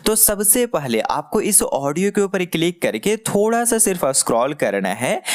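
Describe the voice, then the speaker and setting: A man with an Indian accent hosting a casual step-by-step software tutorial, explaining directly to the listener and checking they follow. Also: a little high. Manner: explanatory, addresses the listener, often checks understanding. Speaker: tutorial host.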